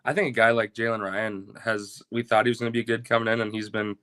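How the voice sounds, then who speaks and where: A man's voice: on the low side, steady tone, fast, a bit bright, no room sound, clean studio sound. A young man speaking as a baseball coach in a casual interview.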